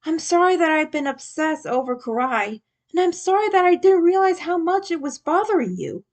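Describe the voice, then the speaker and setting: A woman's voice: extremely high pitch, very animated, normal speed, voice pushed up. A woman reading the part of an earnest, sheepish teenage male turtle leader in a fan story. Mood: apologetic, nervous.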